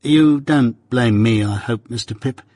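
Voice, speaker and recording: A man reading aloud, voicing a middle-aged law clerk who explains himself slowly and carefully. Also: medium pitch, mellow, no room sound, clean studio sound, natural voice. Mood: earnest.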